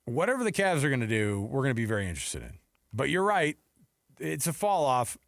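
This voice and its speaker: A man co-hosting a sports radio show with teasing, excitable, conversational banter. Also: medium pitch, a little fast, gravelly, dry recording, studio quality.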